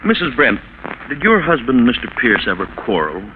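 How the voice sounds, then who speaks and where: An actor playing a composed district attorney's investigator, questioning formally and methodically in 1940s radio style. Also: male voice, medium pitch, sing-song, moderate pace, natural voice. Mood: probing, formal. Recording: tinny, noisy 1940s radio broadcast.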